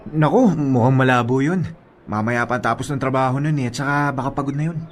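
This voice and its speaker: An actor playing a chummy young male drinking buddy in a Filipino radio drama, talking in a coaxing, animated way. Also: mid-range voice, fast talker, slight background noise, lightly acted. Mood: teasing.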